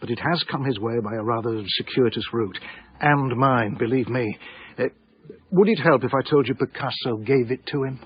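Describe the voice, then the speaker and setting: An actor playing an anxious, earnest small-time English art dealer in a radio drama, hesitant and self-effacing, with an English accent. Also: male voice, mid-range voice, moderate pace, breathy edge, highs cut off, lightly acted. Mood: evasive. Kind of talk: radio drama acting and first-person narration.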